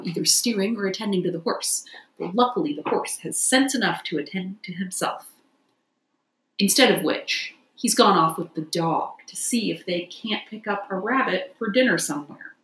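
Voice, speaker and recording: A woman's voice: medium pitch, a bit bright, close to an everyday voice. Woman acting hearty stout barge woman, echoey room. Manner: polite, plain-spoken, chatty.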